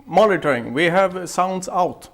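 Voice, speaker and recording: A man's voice: sits high, lively intonation, normal speed. A man with a Swedish accent, a native Swedish speaker, giving brief offhand answers about the sound setup as a technician. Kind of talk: casual chat. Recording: voice from away from the mic.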